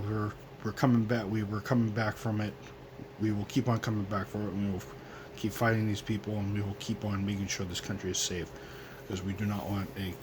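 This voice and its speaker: A young American man in his twenties hosts his own radio show in a hoarse, coughing voice, pitched somewhat low and held fairly flat. He speaks earnestly but haltingly, stopping for ums and restarting sentences. A clear hiss of background noise runs under his voice. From United States. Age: 20s. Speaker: host of a home radio show. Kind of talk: solemn remembrance monologue.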